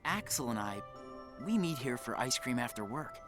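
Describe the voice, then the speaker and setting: A man playing a naive, earnest, quietly curious teenage boy in a video game. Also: mid-range voice, big pitch swings, moderate pace, lightly acted. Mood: friendly. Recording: game audio with music behind.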